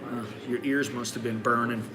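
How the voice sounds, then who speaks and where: A man's voice: mid-range voice, little pitch movement, normal speed. Older man talking in a noisy cafe. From United States. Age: 60s. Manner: blunt, rambling advice with frequent restarts. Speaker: host. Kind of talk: casual live-stream chat. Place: busy coffee shop. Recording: roomy, crowded cafe. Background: crowd chatter.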